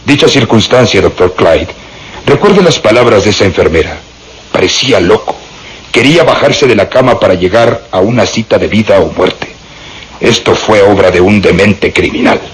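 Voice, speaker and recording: An actor playing a pompous, harried police inspector in a melodramatic radio serial, with declamatory delivery. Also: male voice, fairly low pitch, animated, normal speed, natural voice. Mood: confident. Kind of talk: melodramatic Spanish-language radio serial acting. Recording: noisy radio serial recording.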